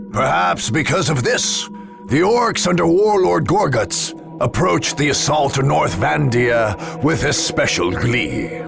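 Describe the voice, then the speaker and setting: Slow, dramatic male narrator with gravelly edge. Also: mid-range voice. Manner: grandiose, theatrical, drawn-out emphasis. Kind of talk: dramatic scripted narration of a war story. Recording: music under the voice. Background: loud background music.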